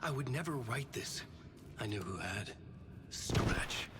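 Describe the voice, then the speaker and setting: A man's voice: mid-range voice, lively intonation, slow, background noise. An actor playing a weary, haunted male novelist, narrating a horror game in grave, terse, brooding noir phrases. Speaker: protagonist narrator. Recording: loud music behind the voice. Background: loud music.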